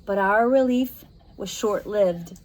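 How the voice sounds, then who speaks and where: A woman reading a spooky children's novel aloud, narrating dramatically with expressive, suspenseful, deliberate phrasing. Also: medium pitch, noisy background. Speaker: storybook reader.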